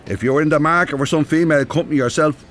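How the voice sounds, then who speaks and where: A man's voice: fairly high pitch, fast talker, fairly bright tone, a little hiss or hum, lightly acted. An actor playing an older Irish man, cheeky and wry, bantering in a naturalistic radio drama. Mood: teasing, boastful.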